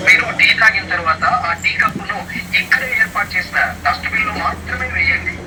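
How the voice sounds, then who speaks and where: A man reading a scripted public service announcement in a formal, instructive manner, played over a street loudspeaker. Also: high voice, brisk. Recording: noisy street loudspeaker playback, telephone-like.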